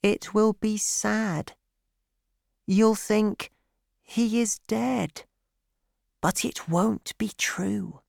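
A man voicing a gentle, sad little boy prince, speaking softly and earnestly. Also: sits high, very slow, vocal fry, long pauses, no echo, clean studio sound, voice pushed up, full character voice. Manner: soft, earnest, wistful.

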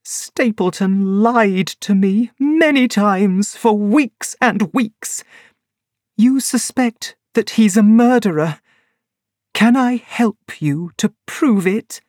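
A woman's voice: animated, slow, darkish tone, dry recording. A reader voicing an upset young woman who pleads and confesses, in a dry, close recording. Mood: regretful. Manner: emotional, high and strained. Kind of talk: audiobook character reading.

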